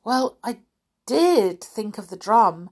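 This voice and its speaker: A woman's voice: on the high side, big pitch swings, slow, a little mellow, pitched above the performer's own voice, full character voice. A woman voicing a naughty, exclamatory girl doll in a children's storybook, calling out and pleading. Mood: contrite. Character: naughty girl doll, loud, panicky, later contrite.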